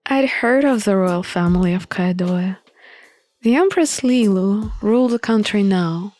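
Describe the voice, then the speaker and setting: A woman's voice: mid-range voice, expressive, a little slow, a little mellow, dry recording. A woman reading first-person story narration for a visual novel playthrough. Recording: music under the voice.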